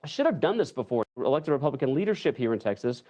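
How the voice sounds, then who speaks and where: A man giving an indignant, forceful political comment on television. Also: medium pitch, big pitch swings, quick pace, highs cut off. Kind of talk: TV interview comment.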